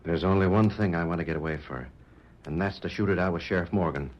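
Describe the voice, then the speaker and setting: A bitter, vengeful American cowboy convict played by a man with a very low, hard voice. He speaks tersely in vintage radio western style on a muffled recording. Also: fast talker, close to an everyday voice. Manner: hard, terse, folksy grammar. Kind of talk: 1940s radio western acting.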